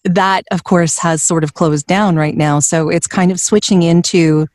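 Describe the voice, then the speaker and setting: A woman with a smooth, slightly low voice talks as an interview guest about her voiceover work. She laughs easily and pokes fun at herself. The recording is dry and close, with no room sound. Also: fairly even intonation, normal speed, darkish tone, dry recording. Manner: laughs often, light and self-deprecating. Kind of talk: conversational interview.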